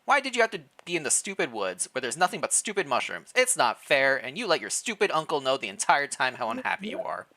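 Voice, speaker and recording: A streamer reading fan fiction aloud in a playful, expressive way, mixed with casual livestream chat. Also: mid-range voice, fast talker, hardly any pauses.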